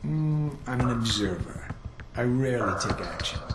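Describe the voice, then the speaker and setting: A man's voice: mid-range voice, expressive, normal speed, background noise, natural voice. A man playing a curt, condescending intelligence analyst in a video game, with dry, clipped, formal delivery. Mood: measured. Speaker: intelligence analyst and mission handler. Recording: roomy echo, loud game music behind.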